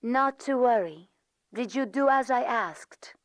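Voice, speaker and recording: A woman's voice: on the high side, expressive, takes it slowly, dry recording. A woman voicing a calculating female spy, controlled and formal, in a dramatic video game. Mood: cool, menacing.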